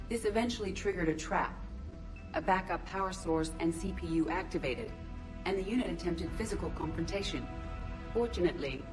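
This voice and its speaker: Woman voicing a calm, precise ship AI. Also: fairly low pitch, close to an everyday voice. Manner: precise, measured, emotionless. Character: calm female AI in a robot body. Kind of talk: video game voice acting. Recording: game cutscene with music.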